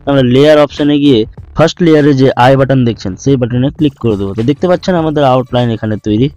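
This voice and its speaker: Fast-talking man, tutorial voice-over. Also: medium pitch, mellow. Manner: instructional, repetitive explaining. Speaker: tutorial host. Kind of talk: step-by-step screen tutorial voice-over. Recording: dull lo-fi voice-over, music behind. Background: background music.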